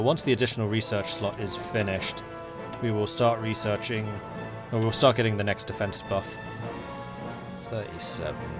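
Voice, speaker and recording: A young man with an English accent, casually chatting with viewers and reading game events aloud as he plays. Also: on the low side. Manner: relaxed, rambling, reads game events aloud. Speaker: streamer.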